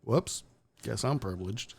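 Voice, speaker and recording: A man's voice: lowish voice, animated, a little slow, creaky, darkish tone, husky. A man hosting a live-streamed talk show, talking casually and bluntly with sarcastic asides and swearing. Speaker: podcast host. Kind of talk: casual opinionated chat.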